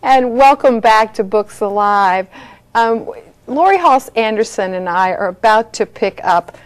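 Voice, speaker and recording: A woman's voice: on the high side, lively intonation, a little slow, clean tone. A middle-aged American woman hosting a studio book interview in an enthusiastic, effusive way. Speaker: talk show host. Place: small TV studio set.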